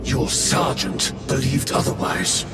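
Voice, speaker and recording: An actor playing a stern, suspicious military chaplain in a game cutscene, with a gravelly voice and slow, accusing delivery. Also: male voice, medium pitch, fairly even intonation, over music. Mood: stern suspicion. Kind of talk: dramatic game cutscene acting. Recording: echoey, distant, under loud score.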